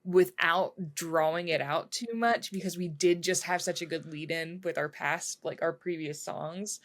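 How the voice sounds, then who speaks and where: A woman's voice: on the low side, unhurried. A woman co-hosting a casual music review chat, effusive and thinking aloud as she goes. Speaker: podcast co-host.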